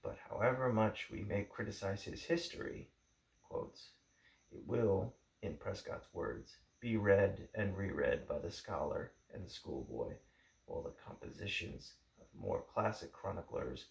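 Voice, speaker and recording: Low, creaky-voiced man reading aloud. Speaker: amateur audiobook reader. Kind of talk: book introduction read aloud. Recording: roomy, echoing home recording.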